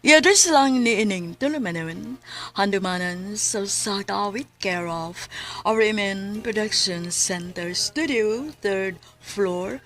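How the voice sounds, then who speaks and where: A woman with a Cebuano Filipino accent reading a scripted radio drama announcement in a deliberate, formal way. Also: mid-range voice, a bit bright. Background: music.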